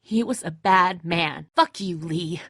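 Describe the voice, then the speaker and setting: A woman's voice: fairly low pitch, slow, a bit bright, no room sound, clean studio sound, exaggerated voice. A woman playing a tough, bitter, defensive apocalypse survivor in a dramatic video game, speaking in a terse, confrontational way. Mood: seething.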